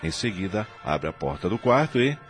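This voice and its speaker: A man narrates a radio drama with a very deep voice and wide, sweeping intonation. His telling is dramatic and expressive, with music clearly underneath. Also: normal speed.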